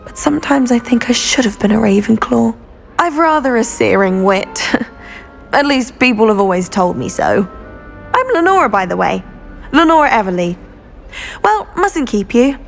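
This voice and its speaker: A woman's voice: a little high, sing-song, normal speed, creaky, faint music behind. A woman with a British accent playing a boastful, self-important teenage schoolgirl in a video game, in a haughty, affected manner. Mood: self-satisfied. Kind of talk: video game character dialogue.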